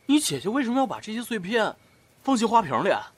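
A man's voice: sits high, very animated, fast talker. A man acting in a Chinese audio drama as an inquisitive young sidekick to a group of investigators. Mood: puzzled.

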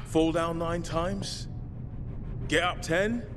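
A man's voice: fairly high pitch, fairly even intonation, takes it slowly, background noise. An actor playing a cheeky, sardonic British male survivor in a video game, wisecracking in slangy, theatrical fashion. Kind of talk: video game cutscene voice acting. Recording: music behind the voice.

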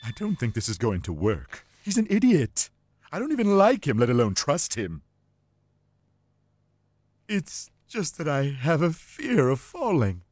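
An actor playing a pompous, bitter, whiny mad scientist villain in an animated video game. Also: male voice, fairly high pitch, a little slow, pauses often, full character voice. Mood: sulky, nervous. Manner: pompous, melodramatic delivery.

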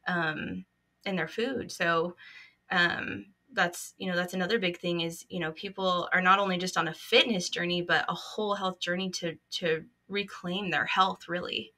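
A woman's voice: lowish voice, sharp tone. A young woman co-hosting a podcast over a video call, chatting casually with many fillers and restarts. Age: young adult. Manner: lots of fillers, restarts mid-thought. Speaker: podcast co-host. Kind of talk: casual chat. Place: home room.

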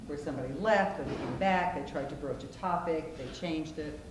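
A middle-aged woman speaking on a theater stage in a measured, explanatory way. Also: deep voice. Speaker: panelist, conflict resolution practitioner. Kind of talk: onstage discussion. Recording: distant, echoey stage with noise.

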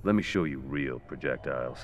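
A menacing, boastful mercenary from a game. His extremely deep voice threatens coolly over clearly audible noise. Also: animated, fairly quick, muffled recording. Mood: cool menace. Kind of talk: fighting game dialogue.

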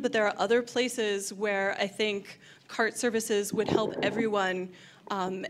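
A woman presenting on a handheld mic in a large hotel function room, upbeat and eager. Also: medium pitch. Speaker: convention presenter. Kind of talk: panel presentation. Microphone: handheld microphone.

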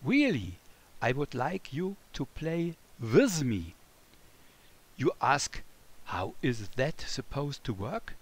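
A man from Germany with a German accent, teaching a children's music lesson in simple, deliberate phrases and playfully addressing the listener. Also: medium pitch, very animated. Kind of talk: scripted children's music lesson, speaking as a melody.

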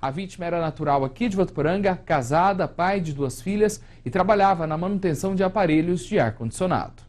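Young male news anchor, steady and formal. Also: sits high, fast talker, few pauses. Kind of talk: scripted news presenting. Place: studio.

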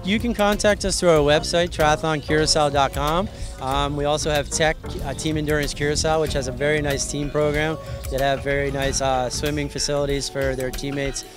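Friendly triathlon official from Hawaii. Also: male voice, a little high, moderate pace. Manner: relaxed, friendly, explains in loose run-on sentences. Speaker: triathlon association president. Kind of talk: outdoor event interview. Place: outdoors at a race venue under tents. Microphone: handheld mic. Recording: background music at outdoor event.

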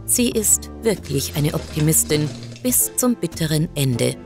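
A woman's voice: deep voice, very animated, a little slow. A woman reading scripted first-person narration as the reader of an audio short story. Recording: music behind the voice. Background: music.

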